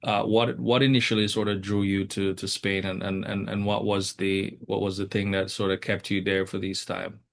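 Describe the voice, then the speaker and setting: A man hosting an interview, speaking haltingly with many fillers and repeated words. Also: low-pitched, little pitch movement, vocal fry. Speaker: host. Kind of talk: casual interview.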